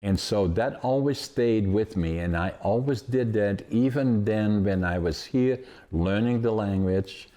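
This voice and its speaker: A man's voice: lowish voice, unhurried, hardly any pauses, warm tone. A man in his eighties from the Netherlands with a Dutch accent, hosting a conversational interview and telling stories in a rambling, emphatic, positive way. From Netherlands, northeastern Groningen province. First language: Dutch dialect of Groningen. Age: 80s. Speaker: podcast host.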